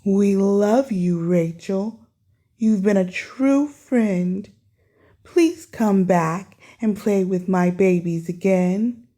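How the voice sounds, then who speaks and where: A woman voicing a gentle, tearful mother snake in a children's storybook, polite and pleading in a slow, soft-hearted way. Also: mid-range voice, voice pushed down, lightly acted. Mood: grateful, tender.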